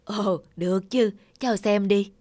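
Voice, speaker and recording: A woman voicing an elderly retired nurse in a cartoon, gentle, lonely and tender. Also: mid-range voice, fairly even intonation, a little slow, slight background noise, natural voice. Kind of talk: animated moral tale dialogue. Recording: music behind the voice.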